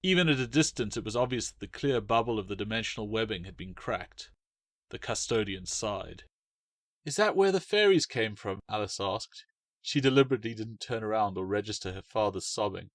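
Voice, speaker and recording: A man's voice: fairly low pitch, a little fast, no echo, clean studio sound. A man reading his own short story aloud as a dramatic, scripted narration.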